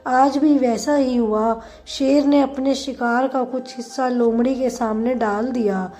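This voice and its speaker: A woman tells a moral tale in a fairly high voice, taking her time over each phrase. Her delivery is scripted and instructive, with a softened, mellow tone. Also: steady tone, measured pace. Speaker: storyteller, channel narrator. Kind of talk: scripted moral story narration.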